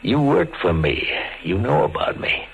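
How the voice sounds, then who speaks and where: Out of a muffled old radio broadcast comes a sadistic gangster boss. He taunts and menaces in tough slang, drawing out his words, with pitch that swings widely. It is full-blown vintage thriller acting. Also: big pitch swings, creaky, exaggerated voice. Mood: menacing. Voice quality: slangy tough-guy speech. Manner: taunting, drawn-out delivery.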